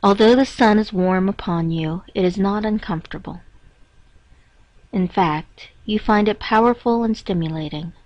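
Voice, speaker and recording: A woman reading a scripted guided meditation in a measured, instructing manner with pauses between phrases. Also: mid-range voice, darkish tone. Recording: dull, roomy echoing lo-fi recording.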